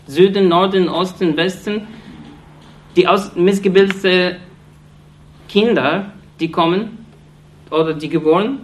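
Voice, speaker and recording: A man from Iraq gives an impassioned political speech in halting German, restarting phrases often and sounding earnest and accusatory. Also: sits high. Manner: halting non-native German, frequent restarts and fillers. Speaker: speaker addressing an audience.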